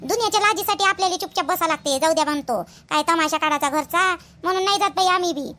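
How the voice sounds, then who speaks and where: Woman voicing a shrill, fast, sharp-tongued village woman. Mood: resigned. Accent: Vidarbha Marathi. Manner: mocking, argumentative, emphatic. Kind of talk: colloquial cartoon gossip dialogue.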